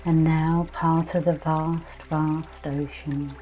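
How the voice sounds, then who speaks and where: A woman with a low-set, very mellow voice guides a meditation. She speaks gently and without hurry, leaving pauses between phrases as she narrates a calming visualization. Soft music plays faintly behind her. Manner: gentle, soothing, unhurried hypnotic delivery with many pauses. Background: soft music with trickling, dripping water.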